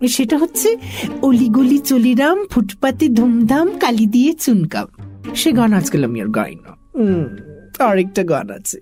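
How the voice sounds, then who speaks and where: A woman's voice: fairly high pitch, very animated, unhurried, music in the background. A woman voicing a coy, shameless bald man who wheedles and whines about his singing in a comic children's audio story. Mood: self-satisfied.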